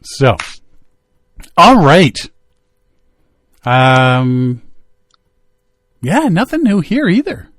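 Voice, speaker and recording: A man's voice: fairly high pitch, huge pitch swings, drawn-out words, pauses often, a bit bright, dry recording. A man hosting a podcast in a studio, chatting casually and teasing his co-host. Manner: joking, teasing banter. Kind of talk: casual chat.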